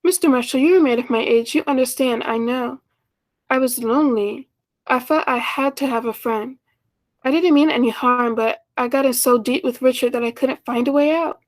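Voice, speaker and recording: A woman's voice: high voice, normal speed, natural voice. A woman playing a lonely, earnest schoolteacher in her thirties in a 1940s-style radio play, speaking politely and tenderly. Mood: apologetic, confessing.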